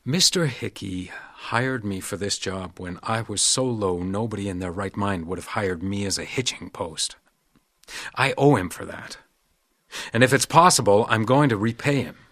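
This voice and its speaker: An actor playing a blunt, loyal ex-drunk hotel detective, dry and probing, in a radio detective drama. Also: male voice, lowish voice, moderate pace, a bit bright, dry recording. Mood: earnest, pressing. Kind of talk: radio detective drama acting with noir narration.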